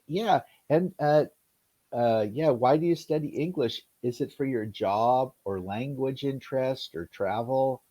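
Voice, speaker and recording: A man's voice: mid-range voice, a little slow, mellow, dry recording. A man from Los Angeles with an American accent, tutoring English in casual conversation, asking long, loosely stacked questions. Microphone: video call.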